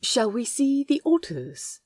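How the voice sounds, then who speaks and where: Woman voicing a gentle, mellow, teasing gentleman. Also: fairly high pitch, very animated, slow pace, slightly rough, no echo, exaggerated voice. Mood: shyly fond. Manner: polite, precise, softly teasing. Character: male Aziraphale, gentle, delighted, teasing, smitten know-it-all. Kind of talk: audio fiction dialogue.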